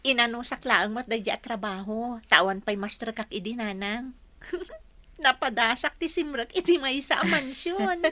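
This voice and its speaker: Young office trainer, actress: high, crisp bright tone, Ilocano accent, polite and cheerful, dry sound. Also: female voice, moderate pace, no echo. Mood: happy. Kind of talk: radio drama acting.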